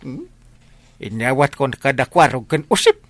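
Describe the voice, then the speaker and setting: A man playing an animated, exclaiming village character in an Ilocano comedy radio drama, with an Ilocano accent. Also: medium pitch, moderate pace, no room sound, close to an everyday voice. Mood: excitable.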